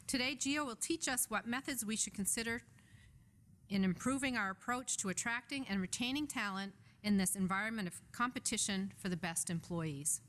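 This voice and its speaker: A woman's voice: mid-range voice, a bit bright. A woman in her fifties reading a prepared introduction of a guest speaker from notes at a podium. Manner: measured, reads from notes. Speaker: event host introducing the speaker. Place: function room. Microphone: podium microphone.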